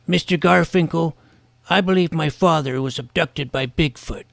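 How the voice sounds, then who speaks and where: A man's voice: on the high side, moderate pace, vocal fry, a bit bright, no echo. A man playing an earnest, wordy schoolboy paranormal detective who narrates his own adventure in an audio drama. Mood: pleading, earnest. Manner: precocious, wordy, earnest, mock-serious. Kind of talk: first-person scripted audio drama narration.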